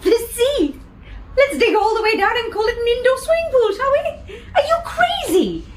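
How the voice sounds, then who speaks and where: A skeptical young househunter, high voice, sarcastic, very lively. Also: female voice, moderate pace, slight background noise. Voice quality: sarcastic, scornful. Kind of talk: radio drama acting.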